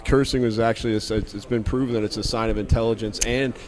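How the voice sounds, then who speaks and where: Candid man coach, low voice. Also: steady tone. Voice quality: loud voice when needed. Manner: fast restarts, repeats words, candid. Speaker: head football coach. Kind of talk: media day interview. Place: hotel at a media event.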